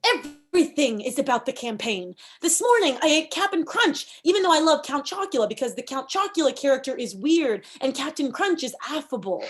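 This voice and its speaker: Woman playing high-voiced, zealous campaign manager. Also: sing-song, moderate pace, hardly any pauses. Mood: intense, matter-of-fact. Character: zealous, quirky female campaign manager, shamelessly scheming. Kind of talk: comedy script table read.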